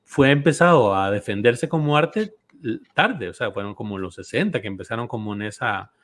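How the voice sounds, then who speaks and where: A man's voice: mid-range voice, animated, normal speed, a bit bright. A man, hosting a casual interview and often restarting his phrases. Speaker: interviewer, podcast host.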